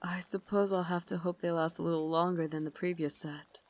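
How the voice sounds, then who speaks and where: A woman playing a refined, tender but tormented Victorian vampire lady in an ASMR roleplay, speaking in a formal, genteel way with breathy asides. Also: fairly low pitch, fairly even intonation, brisk, darkish tone, lightly acted. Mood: poised, wistful. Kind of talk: ASMR roleplay, dramatic monologue.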